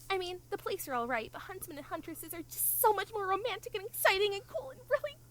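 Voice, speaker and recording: A woman's voice: very high voice, brisk, slight background noise. A woman voicing an eager, bubbly teenage girl huntress-in-training who gushes and rambles in a cartoon fan dub. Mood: eager, rambling. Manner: gushing, rambling, excitable. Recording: fan dub playback with music behind. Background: background music.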